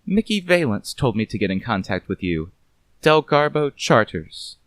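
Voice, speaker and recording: Mysterious female client, performed by a man: raised pitch, crisp diction, cool and cutting, noir story. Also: very animated, a bit bright, no echo. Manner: precise enunciation, cool, urgent but unhurried. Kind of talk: noir detective audio story.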